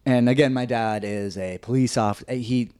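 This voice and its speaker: A man with a slightly high, nasal voice, telling a story on a casual podcast, rambling and hesitant with lots of "like"s and restarts. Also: steady tone, normal speed, a little mellow, clear, resonant tone, no room sound, studio quality.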